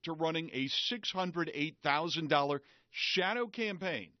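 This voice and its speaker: A man narrates a news package in a steady, scripted read. His voice sits a bit high. The audio is muffled and lo-fi. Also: measured pace, no room sound. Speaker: news reporter voice-over. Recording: dull lo-fi broadcast audio.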